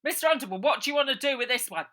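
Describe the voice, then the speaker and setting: A woman voicing a rough, deferential male henchman in a children's story, hesitant and servile. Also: high-pitched, expressive, racing, a bit bright, pitched above the performer's own voice. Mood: alarmed, questioning. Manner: hesitant, servile, nonstandard working-class grammar.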